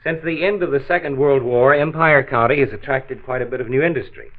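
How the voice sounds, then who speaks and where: A man's voice: medium pitch, fairly quick, lightly acted. A man playing a patient, avuncular county health doctor who explains things in a 1940s radio drama. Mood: friendly, explanatory. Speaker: health commissioner. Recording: tinny band-limited 1940s transcription disc.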